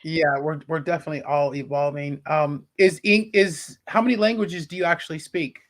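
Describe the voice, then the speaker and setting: A man's voice: on the high side, fairly even intonation, normal speed, a bit bright. A man with a casual, chatty style, acting as an interviewer. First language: English.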